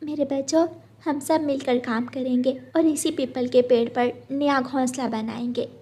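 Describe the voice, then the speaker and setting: A woman voicing a gentle, devoted mother sparrow, tender and earnest, in a cartoon story. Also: high-pitched, fairly even intonation, measured pace, a little hiss or hum. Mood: encouraging.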